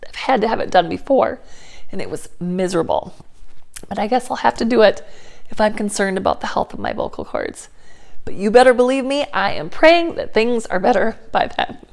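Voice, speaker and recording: A woman, slightly hoarse as her voice returns after laryngitis, giving viewers a candid, earnest personal update. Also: mid-range voice, sing-song, fairly quick, darkish tone. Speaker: inspirational speaker and channel host.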